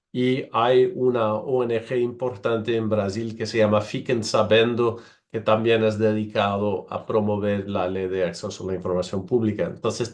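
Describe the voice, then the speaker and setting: A man whose first language is Portuguese, presenting in a virtual panel over a video call, halting with many fillers and restarts. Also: fairly low pitch, a little mellow. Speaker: researcher and panelist.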